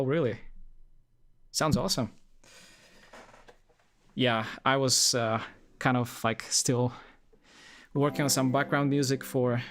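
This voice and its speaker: A man's voice: medium pitch, fairly bright tone. Young male streamer from Poland, easygoing rambling chat, full of fillers, mid-range voice. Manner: rambling, many fillers and restarts. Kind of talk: casual live-stream chat.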